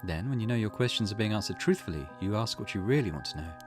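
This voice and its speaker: A man's voice: on the low side, animated, fast, music in the background, lightly acted. A man voicing a composed teenage schoolgirl who leads an occult ritual, reading video game dialogue aloud. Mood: calm instructing.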